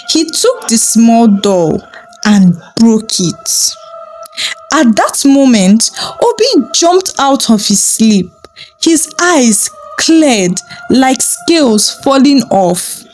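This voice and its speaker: Slow, expressive woman storyteller. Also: rough recording. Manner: slow, expressive, rising pitch on key moments. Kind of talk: dramatic folktale narration. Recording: with background music.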